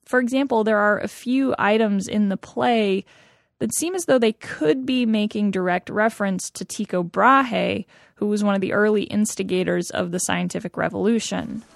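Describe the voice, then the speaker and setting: A woman hosting, reading smooth scripted narration into a close studio mic. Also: mid-range voice, moderate pace, no room sound. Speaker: host narrator.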